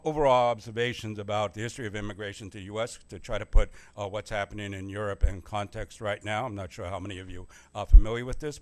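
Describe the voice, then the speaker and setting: A man, a history professor, giving a plain-spoken public lecture at a church forum on a microphone. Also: on the low side. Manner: plain-spoken, self-deprecating opening, steady explanatory delivery. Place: church meeting room. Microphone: handheld or stand microphone.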